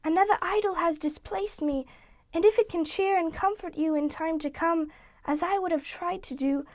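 A man reading an audiobook voices a gentle, sorrowful young woman who is releasing her betrothed, speaking softly and with resignation. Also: very high voice, no echo, studio quality, pitched above the performer's own voice. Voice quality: soft, gentle. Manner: quiet, measured, resigned pleading.

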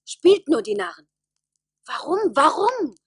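A woman's voice: very high voice, sing-song, takes it slowly, no echo, clean studio sound, exaggerated voice. An actress playing a doubtful, demanding young village woman in a radio play, dramatic and insistent. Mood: suspicious, urgent. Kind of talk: German radio play acting.

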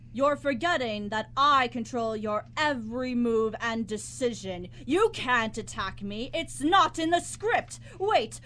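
Woman playing a slow, pompous scheming writer, high voice. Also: fairly bright tone, exaggerated voice. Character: bossy, smug, scheming writer turning desperate. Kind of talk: live student radio comedy acting. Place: college radio studio, live broadcast.

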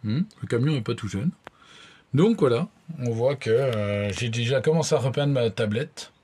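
A man's voice: medium pitch, normal speed, a little mellow, slightly rough. A young man chatting casually and wryly as a vlogger, in an informal, colloquial way, recorded on a handheld phone. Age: young adult. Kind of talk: casual vlog chat. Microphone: phone at arm's length.

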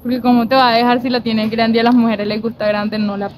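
A woman's voice: fairly high pitch, little pitch movement, fast talker. A young woman playing a neighbor in a staged prank skit outdoors, answering in short, matter-of-fact lines. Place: outdoor playground by apartment blocks.

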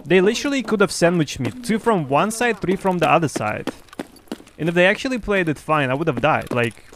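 A young man whose first language is Russian, giving excited, boastful live commentary on his game to his chat through a headset. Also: high-pitched, a little fast, slight background noise. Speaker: streamer.

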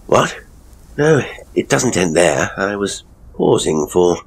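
A man narrating a ghost story in a theatrical, deliberate manner with dramatic pauses. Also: low voice, sing-song, husky. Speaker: horror storyteller, ghost tour guide narrator. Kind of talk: dramatic first-person horror narration, in character.

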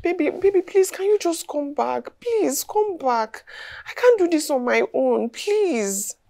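A woman's voice: high voice, big pitch swings, fairly quick, darkish tone. A woman acting a distraught, angry mother with a Nigerian accent in a film drama, scolding and pleading. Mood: distressed, pleading. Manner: scolding, pleading, repeats questions.

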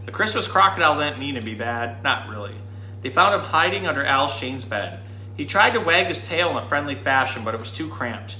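A man in his thirties reading a children's story aloud in an animated, dramatic storytime manner. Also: mid-range voice, a little fast. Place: indoor room. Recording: roomy indoor sound with faint noise.

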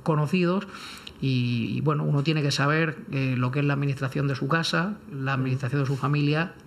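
Measured, hesitant man giving careful commentary. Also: medium pitch, fairly even intonation, vocal fry. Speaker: panelist, lawyer. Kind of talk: unscripted legal commentary in a round-table debate.

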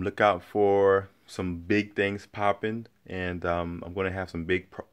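A man's voice: sits low, clean tone. A middle-aged man walking through his home with a phone, chatting upbeat about paintings for sale, with many fillers and restarts. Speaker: art seller previewing his auction. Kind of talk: casual walkthrough vlog. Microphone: handheld phone camera, walking around.

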